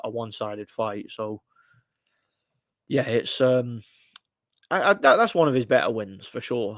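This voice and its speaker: A man's voice: medium pitch, dry recording. Male, 30s, stream host; blunt, frustrated, lots of restarts, very dry mic. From United Kingdom. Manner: rambling, frequent restarts and fillers. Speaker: livestream host. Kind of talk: casual live-stream boxing chat.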